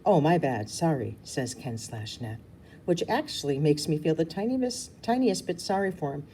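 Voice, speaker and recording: Female storybook reader, low and mellow, informal, lively intonation, small slips left in. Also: normal speed, a little hiss or hum. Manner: stumbles and corrects herself. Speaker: reader of a children's novel. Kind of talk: storybook read-aloud, informal.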